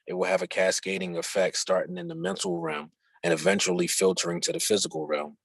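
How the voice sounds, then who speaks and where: A man with a husky, gravelly voice reading a written instructional dialogue aloud. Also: fairly low pitch, no echo, rough recording. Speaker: reader of a book chapter.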